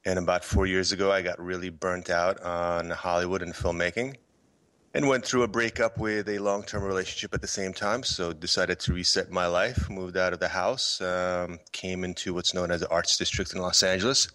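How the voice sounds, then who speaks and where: A man's voice: sits low, fairly even intonation, moderate pace, pushed and bright. A middle-aged man from Poland, raised on the American East Coast, speaking as a podcast guest in a loose, rambling way with lots of fillers and restarts. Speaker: podcast guest, filmmaker and photographer. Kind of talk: relaxed conversational interview.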